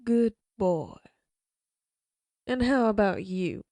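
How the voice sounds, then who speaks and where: A woman playing a menacing female outlaw leader who taunts her captives in a slow, mocking drawl. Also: medium pitch, lively intonation, warm tone, dry recording. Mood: taunting. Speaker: voice actress. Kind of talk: audio roleplay acting.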